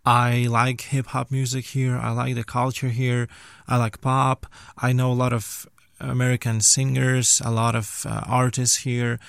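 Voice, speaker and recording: A man's voice: medium pitch, little pitch movement, unhurried, creaky, fairly bright tone, dry recording, clean studio sound. A young man from Ukraine, a language teacher earnestly answering interview questions and sometimes searching for words. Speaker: guest, language teacher and graduate student.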